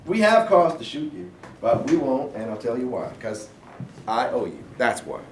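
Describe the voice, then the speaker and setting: A man in a staged play reading, playing a gruff but decent Confederate sergeant and farmer with folksy, halting rural speech. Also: medium pitch, very animated, lightly acted. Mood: firm. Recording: echoey room from far off, faint noise.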